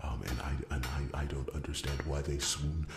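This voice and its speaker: A man's voice: a bass voice, level tone, moderate pace, roomy sound. A man voicing an earnest, wordy dwarf loyal to royalty, stammering and repeating himself deferentially in an ASMR fantasy roleplay. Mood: indignant, petty. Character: rambling, earnest, wounded-pride dwarf smith loyal to royalty.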